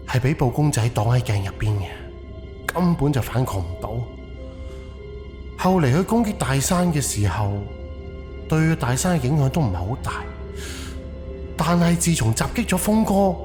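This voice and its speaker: Man acting animated, blunt Cantonese haunted house owner. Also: mid-range voice, lightly acted. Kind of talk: audio drama acting.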